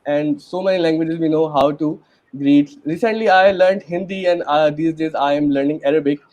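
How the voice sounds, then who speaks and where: A man's voice: fairly high pitch, moderate pace, darkish tone, no echo, dull audio. A man with a Pakistani accent hosting a panel webinar in a chatty, earnest way, with many fillers. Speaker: webinar host.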